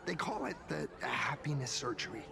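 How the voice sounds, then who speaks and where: A voice actor playing a nervous cult insider in a video game, stammering and halting. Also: mid-range voice, big pitch swings, vocal fry, background noise. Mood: uneasy.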